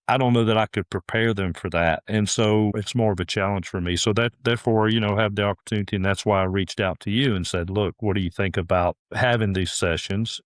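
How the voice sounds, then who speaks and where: A man's voice: lowish voice, no echo, studio quality. A middle-aged man talking earnestly as a golf course director in a podcast interview, often restarting his sentences.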